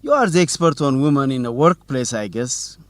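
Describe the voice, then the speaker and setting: An actor playing a teasing, suspicious young student newsletter reporter in an educational radio drama, with a determined manner. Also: male voice, mid-range voice, sing-song, moderate pace, no echo, natural voice. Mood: amused, incredulous.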